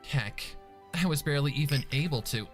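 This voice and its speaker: Young female storybook pony princess played by a man, chatty, busy, animated pitch. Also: fairly quick, faint music behind, lightly acted. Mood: chatty, weary.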